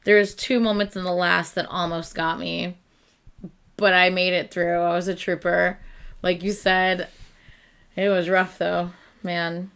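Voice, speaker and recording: A woman's voice: lowish voice, flat delivery, measured pace, vocal fry, fairly bright tone, clear, resonant tone. A young woman hosting a late-night reaction livestream, chatting casually with viewers and laughing often as her voice begins to give out. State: losing her voice. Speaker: reaction channel host.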